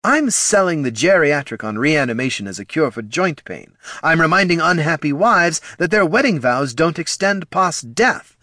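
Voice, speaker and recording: A man's voice: on the high side, sing-song, moderate pace, sharp tone, dry recording, studio quality, pitched above the performer's own voice, heavily acted. A man voicing a brash, callous undead skull who talks like a pushy marketing boss in a fantasy audiobook. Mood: brash, defensive. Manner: glib, pushy salesman patter.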